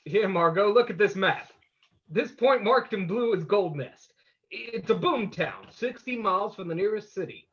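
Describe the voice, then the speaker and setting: A suave radio-play sleuth acted by a man on a dull, muffled recording. His voice is high for a man and husky, and he is confident and in charge. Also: expressive, normal speed. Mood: briefing. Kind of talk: old-time radio drama acting.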